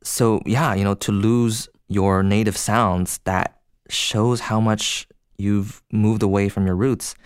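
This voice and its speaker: A man's voice: fairly low pitch, fairly even intonation, slow, clean tone, no room sound, clean studio sound. A young man from China co-hosting a podcast, explaining ideas in a thoughtful, conversational way. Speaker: podcast co-host.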